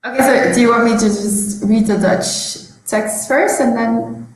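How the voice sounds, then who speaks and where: A woman co-presenting at an academic conference over a video call, speaking in a relaxed, conversational way. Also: on the high side, a little slow, heavy reverb, poor audio.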